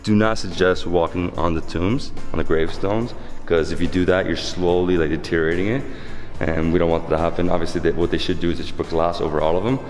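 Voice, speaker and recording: Excitable male vlogger in his thirties, low deep voice, handheld camera. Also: fast, long reverb tail, faint music behind. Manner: excitable, exclaims often, chatty. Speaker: travel vlogger. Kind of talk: casual travel vlog narration. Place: outdoors and inside a stone church. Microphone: camera held at arm's length.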